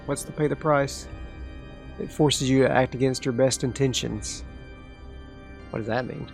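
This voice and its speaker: A man talks his way through a solo tabletop game, reading dice results and rule text aloud. His voice has a gravelly edge and sits at an ordinary pitch. Faint music plays underneath. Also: a little slow. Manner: talks through dice rolls, excitable asides. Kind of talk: solo actual play, thinking aloud.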